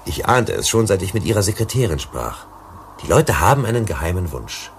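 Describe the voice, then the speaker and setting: An actor playing a shrewd, composed investigator in a German science fiction radio drama, speaking in a probing, self-assured way. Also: male voice, low voice, very animated, fairly quick, slight background noise. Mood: earnest, explanatory.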